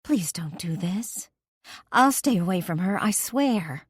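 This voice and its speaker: A woman's voice: fairly low pitch, expressive, slow, husky, dry recording, studio quality, voice pushed up, full character voice. A woman voicing a scheming young female rival who sneers and then begs in an audiobook. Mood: begging. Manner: pleading, spiteful.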